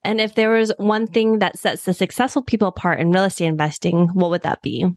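A woman hosting a podcast interview, asking questions in a casual, upbeat way with quick affirmations. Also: medium pitch, fairly even intonation, darkish tone, no room sound, studio quality. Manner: upbeat, laughs easily, brief affirmations. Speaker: podcast host.

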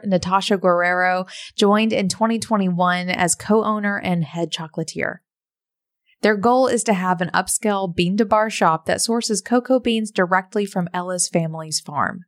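A woman hosting a podcast, reading intros and interviewing a guest in an upbeat, enthusiastic way. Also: medium pitch. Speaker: podcast host. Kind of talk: casual interview and scripted ad reads.

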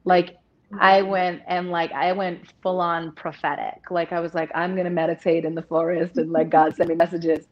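A woman in her thirties with a deep voice, speaking openly and at length as an interview guest, with many restarts. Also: level tone, moderate pace. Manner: open, rambling, restarts often. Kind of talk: candid personal conversation. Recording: dull lo-fi audio.

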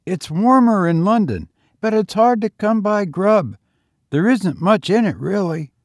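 A man doing a husky, frail teenage tramp. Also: high-pitched, lively intonation, a little slow, dry recording, studio quality, pitched above the performer's own voice. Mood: pleading. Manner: eager, talkative, fatalistic. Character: frail teenage boy tramp, coughing and hoarse.

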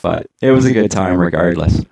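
A man's voice: sits low, lively intonation, a little fast, creaky, a little mellow, slight background noise. A Canadian man hosting a casual interview, laid-back and chuckling, with rambling questions. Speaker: podcast interviewer.

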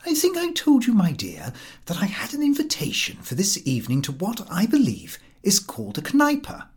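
A man's voice: high voice, wildly swooping pitch, moderate pace, husky, pitched above the performer's own voice, heavily acted. A man voicing a genteel, mildly sheepish husband in a storybook reading, speaking with polite hesitation. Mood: sheepish explaining.

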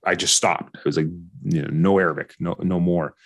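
Low-voiced American man, candid and informal. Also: fairly even intonation, a little fast. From Orange County, California. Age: 40s. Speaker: interview guest. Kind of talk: candid personal storytelling in conversation.

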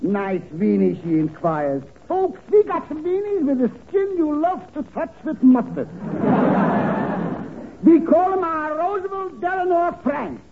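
High-voiced, touchy butcher, old radio. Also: male voice, sing-song, unhurried, phone-quality sound, exaggerated voice. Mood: sarcastic sales patter. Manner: showy patter, indignant. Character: touchy, sarcastic butcher hawking his wares. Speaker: comic supporting actor. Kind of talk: 1940s radio comedy acting. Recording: noisy, thin old radio recording.

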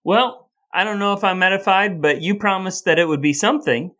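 A man's voice: sits high, fairly quick, pushed and bright. A man hosting a podcast, moving between scripted introductions and casual banter. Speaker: podcast host.

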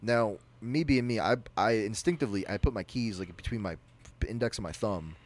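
A young man with a naturally loud voice, telling a personal story on a podcast in a rambling, animated way. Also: lowish voice, brisk. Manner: animated, rambling, with frequent restarts. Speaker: podcast co-host. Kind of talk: casual storytelling.

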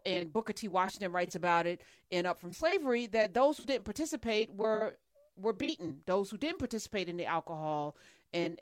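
A woman's voice: on the low side, a bit bright, smooth, dry recording. Earnest woman host, dry close sound. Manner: earnest, emphatic, builds points in lists. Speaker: talk show co-host. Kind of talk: conversational discussion.

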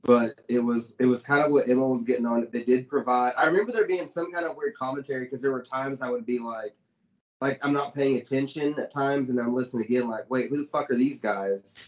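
A man in his thirties chatting casually about wrestling, excitable and swearing freely. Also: mid-range voice, fairly quick, dull audio. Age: 30s.

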